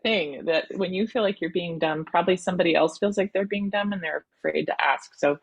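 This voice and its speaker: A woman's voice: lowish voice, a little fast, gravelly, breathy edge. A woman college admissions counselor teaching an online workshop over a video call, encouraging and full of ums and restarts.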